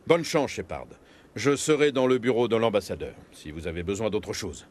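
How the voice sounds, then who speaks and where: An actor playing a serious, resolute human military captain in a French video game. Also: male voice, on the low side, lively intonation, moderate pace, darkish tone, a little hiss or hum, lightly acted. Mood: matter-of-fact. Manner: serious, firm.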